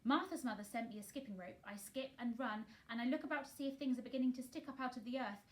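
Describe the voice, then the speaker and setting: A woman's voice: on the high side, fast talker, pitched above the performer's own voice, close to an everyday voice. A woman voicing a timid, eager little girl in a storybook reading, with a tremulous, earnest way of talking. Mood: nervous, earnest. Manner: breathy gasps and tremulous, quavering pleading.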